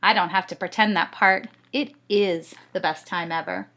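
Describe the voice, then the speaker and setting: A woman's voice: lowish voice, moderate pace, pushed and bright. A woman voicing a good-humoured, playful father in a children's storybook, gamely joining his young son's pretend adventure.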